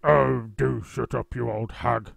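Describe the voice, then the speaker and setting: A man voicing a grumpy, nasty old husband who talks in a gruff, sneering way. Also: medium pitch, steady tone, slow, no room sound, heavily acted.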